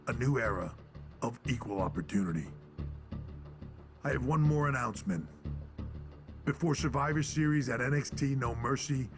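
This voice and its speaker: An actor playing a firm, measured wrestling executive making a formal, deliberate announcement in a video game. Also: male voice, mid-range voice, close to an everyday voice. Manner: deliberate, official.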